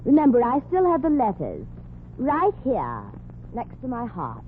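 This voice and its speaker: A woman's voice: a little high, wildly swooping pitch, a little slow, narrow, tinny audio. An actress playing a snobbish, scheming society woman with a haughty, catty manner in a 1940s radio comedy. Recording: noisy old radio broadcast.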